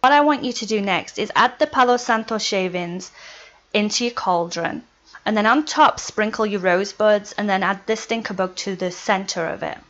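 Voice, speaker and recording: A woman with a broad Yorkshire accent talks through a home spell in a relaxed, chatty vlog. She keeps dropping in "right" and "um" and laughs between her points. Her voice sits at an ordinary middle pitch, and the recording is a little dull and lo-fi. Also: moderate pace. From Yorkshire, England. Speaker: witchcraft vlogger. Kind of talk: casual how-to vlog. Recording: slightly dull lo-fi sound.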